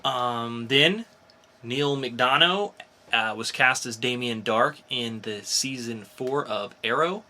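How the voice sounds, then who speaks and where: Guy cohosting a geeky news podcast, bright punchy voice, chatty and into it, bit of noise behind. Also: medium pitch, takes it slowly. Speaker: podcast co-host. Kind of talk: casual entertainment news chat.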